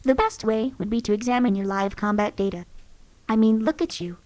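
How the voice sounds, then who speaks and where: A woman voicing a composed female synth companion who speaks in a calm, formal, clinical way. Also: on the high side, fairly quick, natural voice. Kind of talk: recorded companion voice lines.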